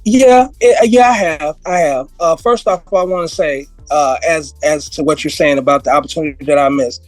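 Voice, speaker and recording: A man with a high voice, hoarse because he is losing it, tells long rambling stories as a podcast guest. He repeats himself and laughs a lot. The sound is dry and close, with faint music underneath. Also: moderate pace, no room sound. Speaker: guest, music industry veteran. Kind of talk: casual interview conversation.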